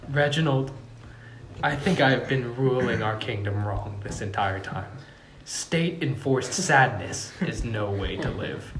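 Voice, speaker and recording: A man plays a foolish young king who is overwrought and emotional. His pitch swings widely and his pacing is slow. The room echoes around him in this script read-through. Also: animated, lightly acted. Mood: remorseful. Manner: overwrought, dramatic delivery with big swings. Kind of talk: amateur script table read. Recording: roomy echo, faint background noise.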